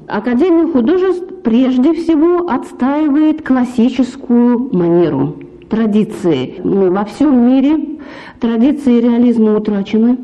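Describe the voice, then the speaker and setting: A woman speaking as an art history lecturer, in a formal, emphatic way. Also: fairly high pitch. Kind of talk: interview commentary on art history. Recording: slightly dull radio sound.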